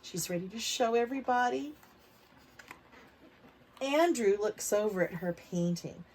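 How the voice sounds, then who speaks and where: Expressive, unhurried woman reading to preschoolers. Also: medium pitch, slight background noise. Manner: expressive, drawn-out emphasis, child-directed. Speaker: read-aloud storyteller for preschoolers. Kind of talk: expressive picture book reading with questions to young children.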